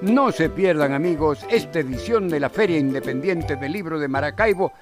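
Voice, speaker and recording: A high, very animated male voice with a Venezuelan accent delivers a radio advert. He speaks straight to listeners over clearly audible music. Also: normal speed. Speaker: promo voice.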